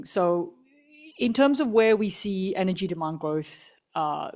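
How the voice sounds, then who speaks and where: Loud-voiced woman answering on a panel. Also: lowish voice. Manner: says she has a loud voice; zooms out to set the scene, with fillers. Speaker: panelist, energy agency regional head. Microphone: stage microphone.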